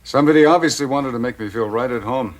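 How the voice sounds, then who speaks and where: A man's voice: mid-range voice, fast talker, close to an everyday voice. A man playing a brooding scientist in a television drama, with an everyday conversational delivery. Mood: resentful. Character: brooding, resentful male scientist.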